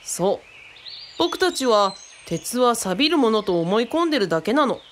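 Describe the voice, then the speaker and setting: A woman's voice: on the high side, sing-song, moderate pace, a little hiss or hum. A woman playing an easygoing, friendly young teacher in a radio drama, explaining things in casual, boyish speech. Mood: explanatory. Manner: casual explaining, bits of Kyushu dialect.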